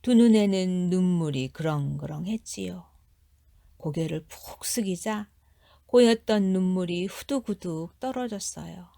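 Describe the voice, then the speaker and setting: A woman with a soft, mellow, slightly low voice narrates a children's fairy tale. She reads carefully and expressively in a dry, close recording. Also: unhurried, gravelly, dry recording. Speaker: storyteller.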